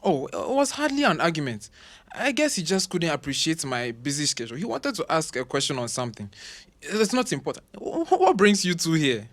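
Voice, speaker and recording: An actor playing an older professor father in an audio drama, proud and dismissive, with a pompous, sometimes halting delivery. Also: fairly high pitch, sing-song. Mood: genial, fussy.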